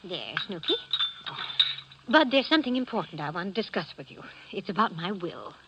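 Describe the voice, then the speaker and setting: A woman's voice: fairly low pitch, very animated, normal speed. An actress playing a doting, chatty middle-aged mother in a 1940s radio drama, coaxing and fond with pet names. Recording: muffled, noisy 1940s radio recording.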